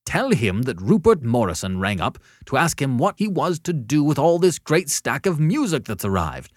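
A man voicing a querulous, hysterical novelist who wails and complains in a shrill, agitated way in an audiobook story. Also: sing-song, a little slow, creaky, a bit bright, no echo, studio quality, full character voice. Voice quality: shrill, wailing. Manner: agitated, emphatic, unburdening himself.

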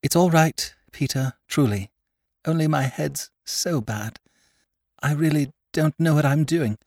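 A man voicing a distraught, fragile young aristocratic woman with a shrill, near-hysterical way of pleading. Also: measured pace, a little mellow, no room sound, studio quality. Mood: bitter, weary.

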